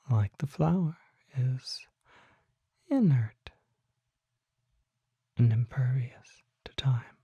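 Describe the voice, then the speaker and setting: Very close and dry, a mysterious vampire apothecary, played by an ASMR performer, whispers in a husky, breathy voice with a crackle of fry. The learned figure speaks formally and deliberately, leaving measured pauses in a slow roleplay monologue. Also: medium pitch, mellow, no room sound, lo-fi sound, exaggerated voice. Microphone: close microphone.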